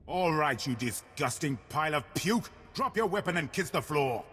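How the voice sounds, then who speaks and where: An actor playing an outraged, scornful guard officer in a video game, pompous and sneering. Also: male voice, on the high side, animated, normal speed, fairly bright tone. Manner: pompous, sneering, barking insults. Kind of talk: scripted game character acting. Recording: long reverb, faint music behind.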